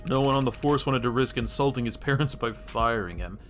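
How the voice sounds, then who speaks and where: A man's voice: mid-range voice, brisk, faint music behind, close to an everyday voice. A man voicing a blunt, world-weary streetwise cop in a noir game, with a matter-of-fact, wry delivery. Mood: wry, explanatory. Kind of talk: reading noir game dialogue aloud.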